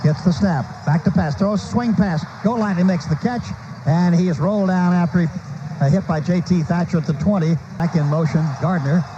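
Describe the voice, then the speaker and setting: A man's voice: high voice, brisk. An American man doing live radio play-by-play of a football game, speaking in clipped running phrases that swell on big plays. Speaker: play-by-play announcer. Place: stadium broadcast booth. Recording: muffled noisy old radio broadcast.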